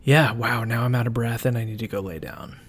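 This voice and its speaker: Young male podcast host, mid-pitched, upbeat casual talker. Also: a little fast, slightly rough. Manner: upbeat, chatty, filler-heavy. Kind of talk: podcast intro and interview hosting.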